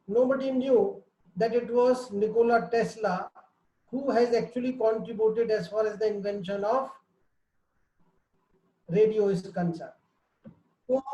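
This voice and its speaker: A man with a high, unhurried voice introduces a guest on a video call, stressing and repeating his key phrases. His tone is soft and mellow rather than bright. The audio is echoey and muffled, like a roomy webinar connection. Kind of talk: formal spoken introduction.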